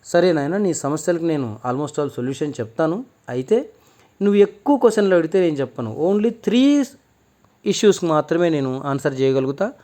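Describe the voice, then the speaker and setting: A man voicing a calm, wise swamiji in a children's story, fatherly and firm as he gives counsel. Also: a little high, fairly quick, close to an everyday voice. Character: calm male swamiji, wise guru of an ashram.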